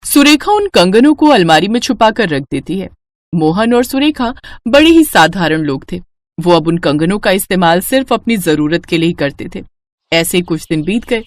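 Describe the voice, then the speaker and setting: A woman narrating a children's cartoon story in a calm, scripted storytelling manner. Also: lowish voice, moderate pace, no echo.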